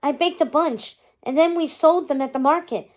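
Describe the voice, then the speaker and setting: A woman's voice: high-pitched, normal speed, a little mellow, lightly acted. A woman voicing an eager, earnest young woman with a sweet, bubbly manner in a storybook. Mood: eager, proud.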